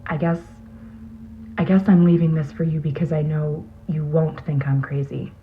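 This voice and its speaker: A troubled female botanist, played by a woman, confides in an old friend on a recorded message. Her voice is low, hesitant and intimate. The tape is muffled and lo-fi, with faint noise under the dramatic monologue. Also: level tone, moderate pace, natural voice. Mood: confiding.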